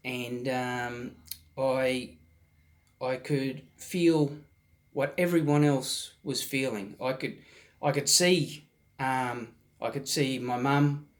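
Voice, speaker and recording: Slow, halting man, slightly hoarse. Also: mid-range voice. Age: 40s. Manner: halting, many ums and restarts, soft-spoken. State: recovering from a virus, slightly hoarse. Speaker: vlog host. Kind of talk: casual personal storytelling.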